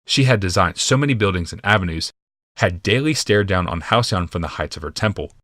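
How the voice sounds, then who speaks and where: Low-voiced man narrating a fantasy audiobook. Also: lively intonation, brisk, fairly bright tone, no room sound, clean studio sound. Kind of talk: dramatic scripted fantasy narration.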